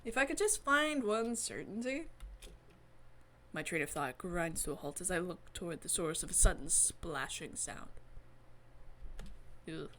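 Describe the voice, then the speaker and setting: A woman's voice: lowish voice, lively intonation, measured pace, long pauses, a bit bright, slight background noise. A woman reading a visual novel aloud expressively, adding playful, wry asides in casual commentary as a streamer. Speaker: let's-play streamer.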